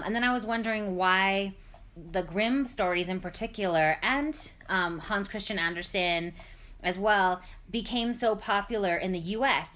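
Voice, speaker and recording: A woman's voice: mid-range voice, fairly bright tone. A woman with an American accent hosting a casual educational discussion, encouraging others and prompting them with questions. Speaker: podcast host.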